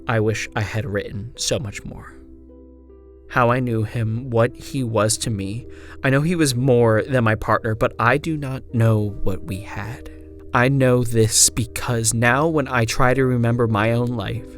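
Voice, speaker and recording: A man performing a frontier gunslinger's first-person horror narration, in a hushed, deliberate delivery with drawn-out phrases and swelling emphasis. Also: lowish voice. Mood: haunted, grieving. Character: woman frontier gunslinger recounting her haunted, lost memories. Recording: music bed under the narration. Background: music.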